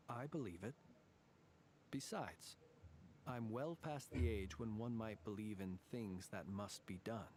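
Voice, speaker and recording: A man voicing an ancient male fae in human guise in a video game, calm and formal, who sometimes drops to near whispers. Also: on the low side, slight background noise, close to an everyday voice. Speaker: lightkeeper guard.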